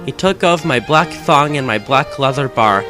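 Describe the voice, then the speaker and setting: A man's voice: mid-range voice, animated, normal speed. A man reading fan fiction aloud as a dramatic narration, with music behind him.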